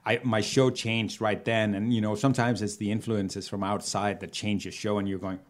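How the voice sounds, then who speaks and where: A man's voice: lowish voice, flat delivery, moderate pace. A man from Denmark, telling casual anecdotes as an interview guest in a jokey way, often laughing at his own stories. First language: Danish. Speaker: interview guest, comedy juggler. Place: hotel room.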